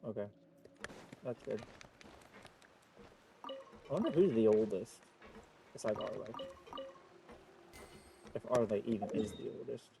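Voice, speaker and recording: A man's voice: fairly low pitch, lively intonation, takes it slowly, vocal fry, pauses often, dark tone, slight background noise. A young adult man giving casual live playthrough commentary as a streamer, reacting with muttered exclamations. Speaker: game streamer.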